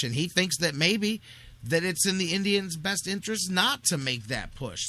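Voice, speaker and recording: An American man hosting a sports radio show in an energetic, casual, rambling style full of slang. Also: medium pitch, no echo. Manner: informal, rambling, peppered with slangy address. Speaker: sports talk radio host.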